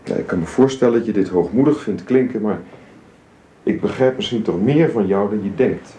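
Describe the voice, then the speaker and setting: A man's voice: on the low side, a little fast, mellow, close to an everyday voice. A man playing a reserved, earnest father and employer who speaks formally and hesitantly in a radio drama with a roomy, hissy recording.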